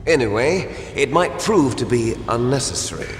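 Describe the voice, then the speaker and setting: An actor playing a bitter, gloating villain twin with grand ambitions in a video game, theatrical and taunting. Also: male voice, mid-range voice, very animated, normal speed, close to an everyday voice. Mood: smug, explaining. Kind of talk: dramatic video game voice acting. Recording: echoey hall reverb under loud music.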